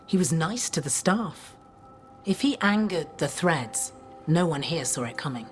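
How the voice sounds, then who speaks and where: Lowish, terse woman templar. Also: lively intonation, unhurried, faint music behind. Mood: concerned, earnest. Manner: terse, businesslike. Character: stern, dutiful female templar officer. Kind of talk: video game dialogue.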